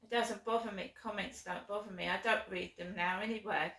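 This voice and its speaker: A woman with a sore throat vlogging alone from her flat, rambling and aggrieved, with many ums. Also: medium pitch, fairly quick. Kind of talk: casual chat to camera. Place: small flat, indoors. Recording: echoey room, mic at a distance.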